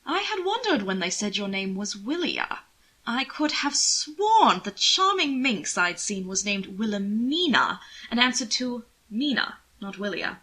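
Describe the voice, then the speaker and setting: A woman voicing an amused, rakish young aristocratic gentleman in an audiobook, with a suave, drawling, teasing manner. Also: a little high, very animated, a little slow, exaggerated voice. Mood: amused, musing. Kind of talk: audiobook character voice.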